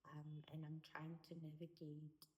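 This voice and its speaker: A woman's voice: low-pitched, completely flat delivery, measured pace, mellow. A South African woman in her thirties, an Afrikaans first-language speaker, talking openly and reflectively as an interview guest. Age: 30s. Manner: open and reflective, laughs easily. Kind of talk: candid personal interview.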